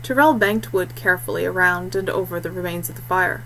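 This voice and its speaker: A woman's voice: fairly low pitch, brisk, a bit bright, slight background noise, low-quality recording. A woman reading scripted fiction as an audiobook narrator.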